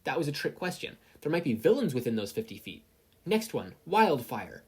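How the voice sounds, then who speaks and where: Reader playing a brisk, confident heroine mentor. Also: mid-range voice, sing-song, slightly rough, pitched above the performer's own voice. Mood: rapid, testing. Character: brisk, confident female pro-hero mentor.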